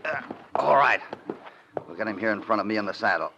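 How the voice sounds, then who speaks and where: An actor playing a steady, commanding cowboy hero who speaks calmly and firmly, on a hissy lo-fi vintage radio recording. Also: male voice, on the low side, fairly quick, creaky. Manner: calm, firm, authoritative. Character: steady heroic male cowboy, calm and commanding. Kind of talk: 1940s radio acting.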